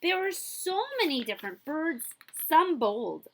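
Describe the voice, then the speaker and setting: A woman reads a children's story aloud in a bright, slightly high voice. Her delivery is animated and expressive, swinging widely in pitch and leaning slowly on key words. She speaks straight to her young listeners as a librarian would at story time. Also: a little hiss or hum. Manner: expressive, drawn-out emphasis, checks in with listeners. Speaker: librarian reading a picture book aloud.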